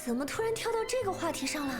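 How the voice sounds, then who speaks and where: Game role, proud young diva: high pitch, theatrical and expressive, music behind. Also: female voice, rapid-fire. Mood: guarded, reflective. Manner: haughty, expressive, dramatic. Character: proud, theatrical young former stage star. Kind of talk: Chinese video game voice acting.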